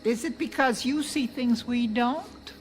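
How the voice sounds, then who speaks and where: A woman's voice: on the high side, unhurried, close to an everyday voice. A woman playing a curious, earnest questioner in a radio play, speaking in short, wondering questions. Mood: puzzled. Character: curious, earnest female questioner of a cryptic seer.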